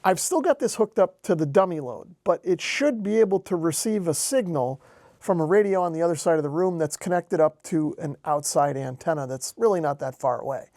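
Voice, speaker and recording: A man's voice: on the high side, animated, a little fast, darkish tone, no echo, clean studio sound. An American man casually narrating a hands-on hobby demonstration as host. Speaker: host, amateur radio hobbyist.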